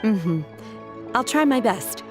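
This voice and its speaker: A woman voicing a calm, earnest young female disciple in a video game, measured and reserved. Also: medium pitch, music in the background. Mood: composed. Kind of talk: anime video game voice acting.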